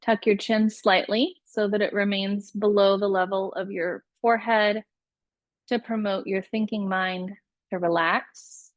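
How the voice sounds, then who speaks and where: Female meditation guide, very slow and soothing, mid-range pitch, close dry recording. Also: steady tone, no room sound. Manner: unhurried, soothing instructional delivery with pauses. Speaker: yoga nidra meditation teacher.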